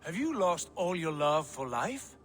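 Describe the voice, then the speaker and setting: A man's voice: a little high, very animated, a little slow, a little hiss or hum. A man playing a boisterous, commanding Norse god in a video game, hearty and theatrical. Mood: imperious. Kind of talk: dramatic game cutscene acting.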